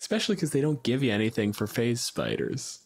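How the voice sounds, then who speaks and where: A man's voice: medium pitch, wildly swooping pitch, a little fast, vocal fry, darkish tone. A man hosting a podcast, talking casually and bluntly in informal gamer chat. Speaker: podcast host. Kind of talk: casual discussion of tabletop gaming.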